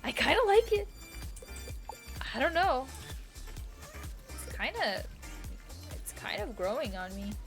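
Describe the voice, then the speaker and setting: A woman's voice: high voice, big pitch swings, takes it slowly, long pauses. Rambling woman streamer over faint music. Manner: rambling, indecisive, repeats herself, mild swearing. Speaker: live streamer, host. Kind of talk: casual live game stream chat, thinking aloud. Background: quiet music.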